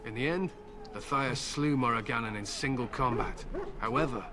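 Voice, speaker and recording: A man's voice: mid-range voice, moderate pace, background noise, lightly acted. An actor playing a stern male warrior in a video game, solemn and curt, speaking proudly of battle and his hounds. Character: stern male human warrior, grim hunter with war hounds. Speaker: game character. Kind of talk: voiced game dialogue. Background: loud game music.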